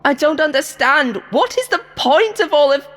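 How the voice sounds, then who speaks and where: A woman's voice: high voice, animated, normal speed, a bit bright, big-room sound, voice pushed up. A woman voicing a desperate, indignant game show contestant in a horror story, crying out frantically. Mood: indignant, panicked.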